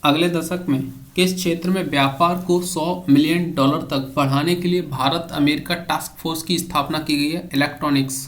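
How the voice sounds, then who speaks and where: A man, a coaching teacher reading out quiz questions and their answers to exam students. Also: a little high.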